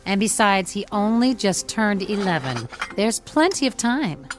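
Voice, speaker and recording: An actress playing a gentle, supportive mother in an audio drama, reassuring and lightly teasing. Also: mid-range voice, very animated, measured pace, soft background music.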